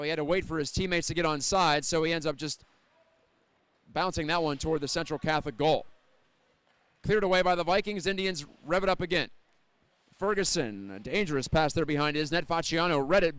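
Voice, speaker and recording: Guy doing hockey play by play, smooth clean voice on the higher side, describes every pass. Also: dry recording. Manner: measured, descriptive, lifting on scoring chances. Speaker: play-by-play announcer. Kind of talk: live ice hockey radio-style commentary.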